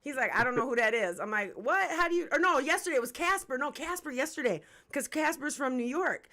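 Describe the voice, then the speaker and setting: A woman's voice: sits high, expressive, fairly quick, sharp tone. A woman in her forties hosting a casual hip-hop interview livestream, chatty, candid and slangy, swearing freely. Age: 40s. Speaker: host of a music review livestream.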